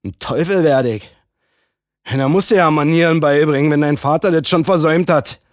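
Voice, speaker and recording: A man voicing a jealous young brute who sneers and shouts with rough, colloquial speech. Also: fairly low pitch, sing-song, a little slow, no room sound, studio quality, heavily acted. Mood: menacing.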